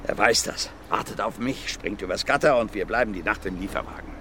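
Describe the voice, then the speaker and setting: An actor playing a gruff, blunt violent brawler in his fifties under questioning in a radio drama, plain-spoken and repetitive. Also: lowish voice, brisk, pushed and bright, a little hiss or hum. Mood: defensive, earnest. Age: 50s. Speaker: suspect being questioned.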